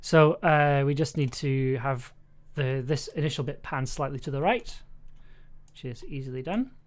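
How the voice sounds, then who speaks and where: Live-stream editor, middle pitch. Casual, halting commentary, talking through the work as it happens. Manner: halting, thinking aloud while working. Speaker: streamer and audio drama editor.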